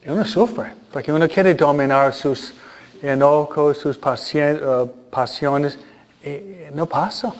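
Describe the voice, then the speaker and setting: A male priest delivers a casual sermon at a very unhurried pace, with his voice sitting a little high. He hesitates often and tosses rhetorical questions to the congregation. The recording sounds muffled and lo-fi. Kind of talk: conversational sermon with humor.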